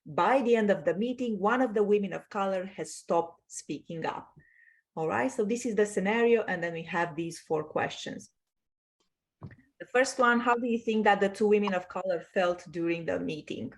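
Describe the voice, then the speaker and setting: A woman's voice: mid-range voice, moderate pace. A woman from Romania teaching an online class over a video call, explaining patiently and checking that her students follow.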